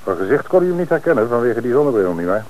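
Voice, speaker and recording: An actor playing an authoritative police commissioner in an old radio play. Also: male voice, medium pitch, sing-song, very fast, muffled recording, a little hiss or hum, lightly acted. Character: middle-aged male police commissioner in charge.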